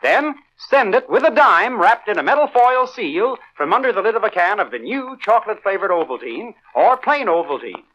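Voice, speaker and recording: Animated, high-pitched American radio announcer man. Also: moderate pace, few pauses. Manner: emphatic, chummy, direct address. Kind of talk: 1930s-40s radio announcing to children. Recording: dull old radio recording.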